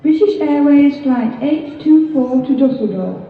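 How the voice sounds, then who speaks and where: An actress in a radio drama playing a formal, measured flight announcer over a public address system. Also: female voice, sits high, animated. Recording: echoey, tinny, distant airport tannoy.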